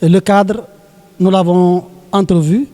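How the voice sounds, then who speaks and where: A man with a Senegalese French accent, a local elected official giving an oratorical interview statement, deliberate and hesitant, repeating phrases for emphasis. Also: sits high, steady tone, warm tone.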